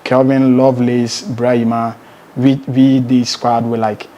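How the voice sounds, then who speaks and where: A man's voice: mid-range voice, little pitch movement, a little slow, mellow, dull audio. A man with a Ghanaian accent speaking as a musician being interviewed, emphatically, repeating words and posing rhetorical questions. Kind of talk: conversational interview.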